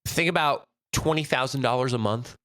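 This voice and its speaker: A man's voice: mid-range voice, brisk, a bit bright, clear, resonant tone, no echo. An American man co-hosting a podcast, chatty and laughing often, his voice stuffy from allergies. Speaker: podcast co-host. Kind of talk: casual podcast chat.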